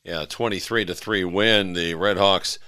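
Bright, clear, low-pitched male sports host. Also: steady tone, measured pace, no room sound, clean studio sound. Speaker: sports radio show host. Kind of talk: conversational sports interview and commentary.

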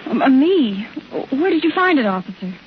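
An actress playing a nervous, frightened wife held hostage in a 1950s radio drama. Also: female voice, high-pitched, very animated, normal speed. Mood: nervous, puzzled. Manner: nervous, hesitant. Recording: muffled, noisy old radio recording.

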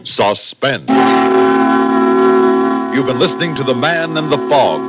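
A man's voice: mid-range voice, lively intonation, unhurried. A man announcing scripted closing credits in the style of 1960s radio drama. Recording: dull vintage radio broadcast, loud music behind.

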